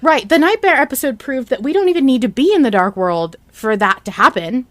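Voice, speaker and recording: A woman with a fairly high voice hosts a fan podcast. She talks in an excited, emphatic way, often halting and starting again as she gushes. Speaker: podcast host.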